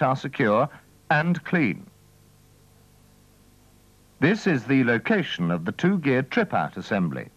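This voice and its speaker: Male narrator for vintage technical instruction film: methodical, formal, animated pitch movement, dull lo-fi sound. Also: no echo. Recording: dull, dry old training-film soundtrack.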